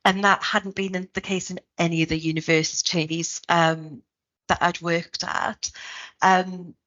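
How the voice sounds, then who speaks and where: A woman's voice: lowish voice, little pitch movement, unhurried, fairly bright tone, lo-fi sound. A middle-aged woman with a Geordie accent from Newcastle, a university school head answering interview questions thoughtfully, with frequent ums and restarts. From Newcastle, north of England.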